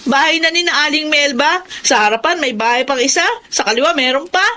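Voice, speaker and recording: A woman's voice: high-pitched, brisk, sharp tone. A woman acting a fed-up, insistent member of a household in a Filipino melodrama, emphatic and nagging. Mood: angry, fed up.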